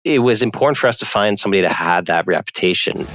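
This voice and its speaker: Relaxed low-voiced male founder. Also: fast, muffled recording, over loud music. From Canada. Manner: relaxed, rambling with fillers. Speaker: company founder, guest. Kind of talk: casual interview answers.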